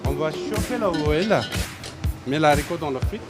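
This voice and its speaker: Guy cooking, calm and simple phrasing, lightish voice, music playing clearly behind. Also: a little high, normal speed. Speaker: cook. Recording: music in background.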